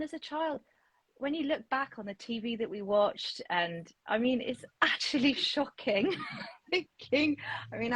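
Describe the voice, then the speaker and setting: A woman in her forties, a picture book author, talking in a relaxed interview with many restarts and fillers. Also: medium pitch, husky, no echo, poor audio. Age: 40s. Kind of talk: casual interview chat.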